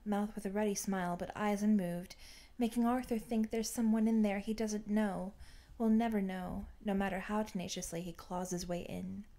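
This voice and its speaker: A woman's voice: mid-range voice, mellow. A woman reading fan fiction aloud as scripted audiobook-style narration.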